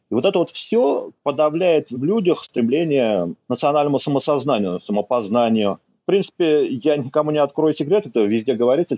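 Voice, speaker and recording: A man with a husky, slightly rough voice tells his story in a relaxed, conversational way. His pitch rises and falls a lot as he talks. The recording sounds dull and lo-fi. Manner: lively, storytelling, thinks aloud. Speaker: podcast guest, Tatar language learner. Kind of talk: casual interview talk.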